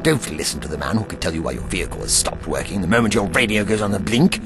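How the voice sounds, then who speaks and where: A man's voice: sits low, animated, quick pace, music in the background. A man playing an eccentric, indignant doctor in an audio drama, speaking in a pompous, formal and sardonic way. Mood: sardonic, haughty. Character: eccentric, indignant male time-travelling doctor, moralising and haughty.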